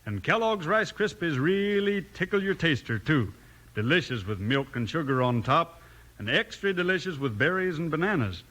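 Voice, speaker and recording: A man's voice: fairly high pitch, animated, moderate pace, a bit bright. A man delivering a scripted 1950s radio advertisement with folksy, boyish enthusiasm. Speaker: ad pitchman.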